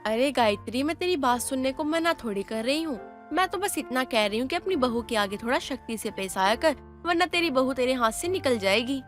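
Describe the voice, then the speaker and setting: A woman's voice: sits high, animated, a little fast. A woman voicing an outspoken, teasing neighbour with a wry, chiding manner in a moral story cartoon. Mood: advising. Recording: music under the voice.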